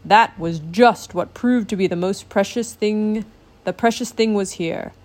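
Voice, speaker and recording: Woman doing a booming stern sea king. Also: darkish tone, lightly acted. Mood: stately. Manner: slow and commanding.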